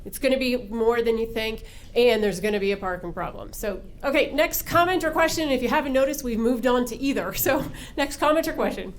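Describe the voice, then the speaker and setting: A woman facilitating a public meeting, moving things along in a brisk, orderly way. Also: on the high side, a little hiss or hum.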